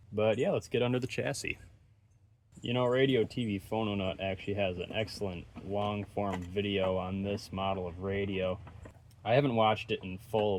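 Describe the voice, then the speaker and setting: A man's voice: on the low side, a little mellow, slight background noise. A man giving casual, hands-on repair commentary as a host, musing aloud in a laid-back way. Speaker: host of an electronics repair channel.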